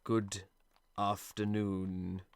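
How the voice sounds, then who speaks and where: An actor playing a bitter, scornful old miser in an audio play, with a sneering, curmudgeonly manner. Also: male voice, lowish voice, flat delivery, very slow, smooth, no room sound. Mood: sarcastic, dismissive.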